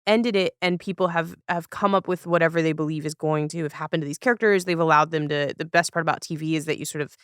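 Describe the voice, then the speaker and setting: A woman's voice: low-pitched, fairly even intonation, clear, resonant tone, dry recording, studio quality. A woman hosting a casual podcast conversation, chatty and rambling in the way she talks. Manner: chatty, rambling, quick to laugh. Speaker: podcast host.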